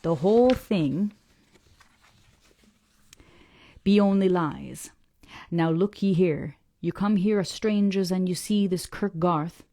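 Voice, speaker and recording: Lady doing a preachy old fisherman, slow and dragged out, mellow lowish voice. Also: no room sound. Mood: insistent. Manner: slow, dragged-out dialect speech. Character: old male fisherman, gruff, scornful, preachy.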